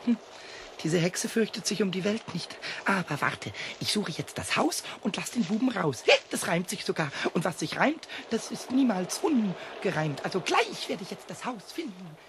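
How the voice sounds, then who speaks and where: A man voicing a small, excitable male kobold with a high squeaky voice, stammering and repeating words in a children's radio play. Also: moderate pace, noisy background. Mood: plotting.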